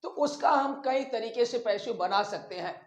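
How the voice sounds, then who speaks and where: A man teaching a grammar lesson step by step, deliberate and instructive, as if dictating piece by piece. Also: extremely high pitch. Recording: echoey, roomy sound.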